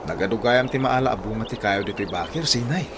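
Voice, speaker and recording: A man's voice: on the low side, animated, fairly quick, fairly bright tone, slight background noise. A man playing a cheeky, timid younger companion in a radio drama. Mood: teasing, excited.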